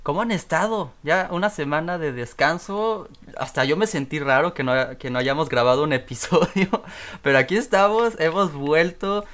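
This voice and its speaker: A man's voice: a little high, normal speed, a bit bright. Upbeat podcast host man. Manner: upbeat, laughs often. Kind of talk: podcast chat.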